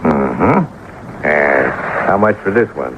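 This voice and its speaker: A man's voice: very deep voice, big pitch swings, takes it slowly, fairly bright tone, a little hiss or hum, heavily acted. A man acting a cool, laconic insurance investigator in an old-time radio detective drama, clipped and understated. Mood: coolly knowing. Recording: muffled old radio recording, music behind.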